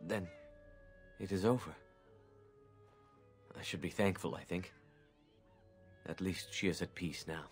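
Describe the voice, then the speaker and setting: An actor playing a grieving middle-aged elf hunter in a video game, gentle, halting and grave. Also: male voice, low voice, soft background music. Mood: subdued grief. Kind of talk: video game character acting.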